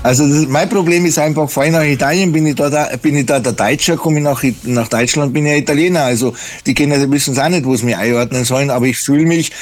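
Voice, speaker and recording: A man's voice: on the high side, quick pace, few pauses. A middle-aged man, chatting on the phone in a casual, hesitant way with many fillers and restarts. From Germany. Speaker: caller. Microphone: phone line.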